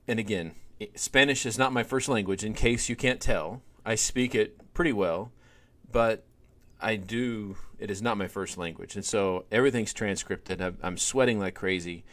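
Lively low-voiced man telling a story. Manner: animated storyteller, many fillers. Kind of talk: conversational podcast storytelling.

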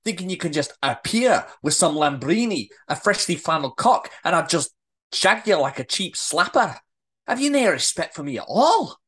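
A woman's voice: rumbling low, sing-song, normal speed, husky, full character voice. A woman playing a feisty, indignant Scottish woman in acted drama dialogue, with blunt, colloquial delivery. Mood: angry ranting. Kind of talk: amateur script read-through, acted dialogue.

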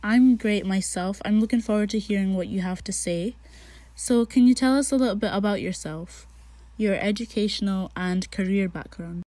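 A woman's voice: mid-range voice, normal speed, warm tone, no echo. A woman hosting a podcast, presenting introductions and interview questions. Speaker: podcast host interviewing a guest.

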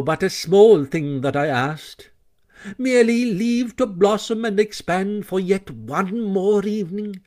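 A man's voice: sits high, darkish tone, voice pushed up. A man playing a vain, theatrical old-world toad. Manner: pleading, grandiose, quavering. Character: boastful vain male toad, theatrical, self-pitying.

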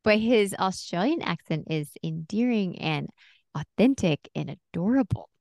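A woman's voice: medium pitch, animated, moderate pace, vocal fry, darkish tone, no room sound, studio quality. A woman with a Southern American accent co-hosting a podcast in casual, playful chat. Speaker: podcast co-host.

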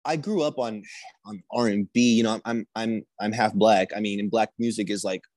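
A man talking about his singing in a casual conversation, soft-spoken and self-deprecating, with plenty of fillers. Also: fairly low pitch, a little fast, dry recording. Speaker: singer guest.

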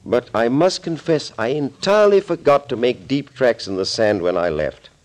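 An actor playing a suave, smug villainous doctor in a 1940s radio thriller, speaking politely and wordily. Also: male voice, mid-range voice, animated, moderate pace, a little mellow, natural voice. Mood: rueful, fussy. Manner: polite, wordy, theatrical confessing.

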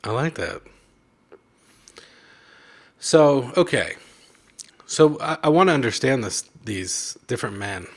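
A man with a medium-pitched voice hosts a casual conversation. He talks loosely and informally, with a joking, self-deprecating manner. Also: lively intonation, slow, long pauses. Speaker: host of a chat show. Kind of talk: casual video chat interview.

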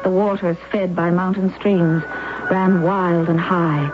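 An anguished wheelchair-bound young wife. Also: female voice, fairly low pitch, steady tone, takes it slowly. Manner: intense, pleading, breaking into despair. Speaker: narrator and lead character. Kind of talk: 1970s radio drama acting. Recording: muffled old radio broadcast with music.

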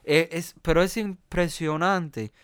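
Smooth-voiced Cuban pianist, halting and chatty. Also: male voice, fairly high pitch, steady tone, a bit bright, no room sound, studio quality. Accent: Cuban Spanish. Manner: hesitant, many fillers and restarts. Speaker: jazz pianist guest. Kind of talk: conversational interview.

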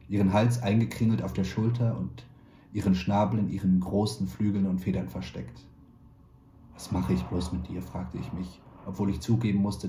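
A man reading a short story aloud in a calm, measured way, lightly voicing the dialogue. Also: low voice, echoey room. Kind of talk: literary reading.

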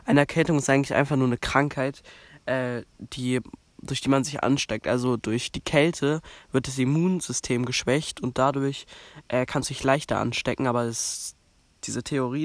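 A man's voice: medium pitch, gravelly, no room sound. Rambling young man with a cold. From Germany. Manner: rambling, many fillers and restarts. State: has a cold. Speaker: podcast host. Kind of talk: casual monologue.

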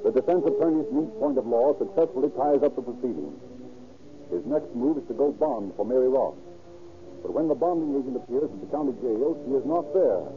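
A man narrating a scripted 1930s radio crime drama in a formal, measured, dramatic manner. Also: mid-range voice, lively intonation, music in the background, lightly acted. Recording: tinny old radio recording.

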